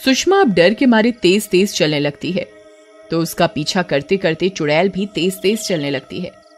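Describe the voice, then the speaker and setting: A woman's voice: fairly low pitch, animated, moderate pace, soft background music. A woman storyteller giving a dramatic scripted narration of a horror tale.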